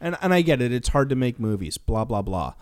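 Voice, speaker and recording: Male co-host, mid-pitched, informal and opinionated, chatting like a regular guy. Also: sing-song, fairly quick, darkish tone, smooth. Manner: informal, argues through imagined dialogue. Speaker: podcast co-host. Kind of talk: casual, opinionated chat.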